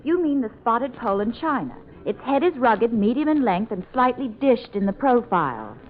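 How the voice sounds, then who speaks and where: Sensible, exasperated high-voiced woman. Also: sing-song, normal speed. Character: sensible, exasperated, kind-hearted office woman. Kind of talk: old-time radio comedy acting. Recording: tinny, noisy old radio recording.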